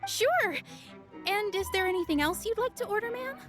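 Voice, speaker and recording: Casting: a polite young waitress, high lively voice, eager and courteous. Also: female voice, moderate pace, over music. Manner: courteous, eager menu descriptions. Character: polite young female restaurant server. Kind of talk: video game dialogue.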